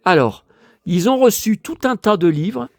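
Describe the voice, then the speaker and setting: An older man from France with a hoarse voice, grumbling and talking to himself as he shows his latest finds to viewers in a casual monologue. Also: high-pitched, expressive, measured pace, darkish tone, dry recording, studio quality. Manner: grumbling, exasperated, talks to himself. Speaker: vlogger showing flea-market finds.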